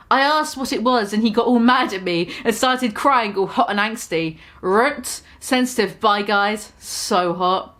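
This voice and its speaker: Amused woman reading aloud, high voice. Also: a bit bright. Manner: amused, keeps breaking into laughter, sarcastic asides. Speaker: host reading a fan story aloud. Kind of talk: mock-dramatic reading.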